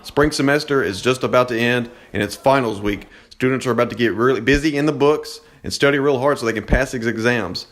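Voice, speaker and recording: A young man works as a student news reporter. He delivers scripted local reports in a medium-pitched voice, presenting each piece as a correspondent would. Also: a little fast, hardly any pauses, a bit bright. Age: young adult.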